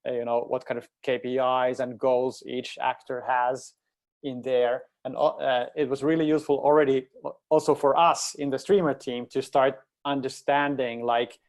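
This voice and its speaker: A man of average pitch talks in a casual way about technical teamwork. He is reflective, feeling his way toward the right words as he speaks. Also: a little mellow. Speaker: project team member in a panel discussion.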